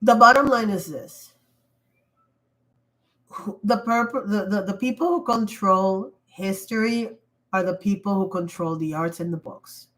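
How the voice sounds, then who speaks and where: A woman's voice: mid-range voice, measured pace, long pauses, a bit bright, low-quality recording. A woman hosting a casual livestream while sick and losing her voice, talking in an emphatic, rambling way with frequent restarts. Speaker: livestream host. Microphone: computer mic.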